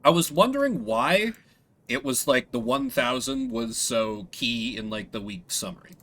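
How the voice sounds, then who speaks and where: A man gives casual gameplay commentary as a streamer. His voice is bright and forceful, and it moves around a lot in pitch. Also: lively intonation, a little slow. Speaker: streamer playing and voicing a video game.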